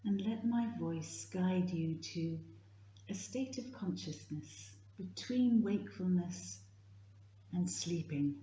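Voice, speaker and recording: A woman leading a guided yoga nidra meditation in a gentle, soothing, hushed manner, leaving long pauses. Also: fairly low pitch. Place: indoor room at a retreat, live session. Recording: roomy echo, faint background noise.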